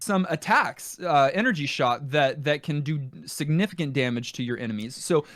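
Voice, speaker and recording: A man's voice: on the high side, animated, moderate pace, a bit bright, no echo, studio quality. A man co-hosting a podcast, talking casually as he reviews games. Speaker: podcast co-host. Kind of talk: casual game review chat.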